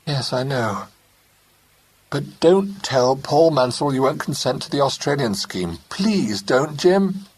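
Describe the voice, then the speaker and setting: A man's voice: medium pitch, expressive, slow, husky, voice pushed up. A man voicing an anxious young Englishwoman, pleading and urgent.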